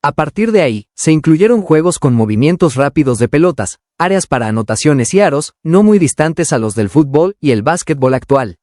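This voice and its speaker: Educational audiobook reader, male, slightly raised pitch, scripted informative read, no room sound. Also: lively intonation, normal speed, hardly any pauses, a little mellow, no room sound, clean studio sound. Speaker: audiobook narrator.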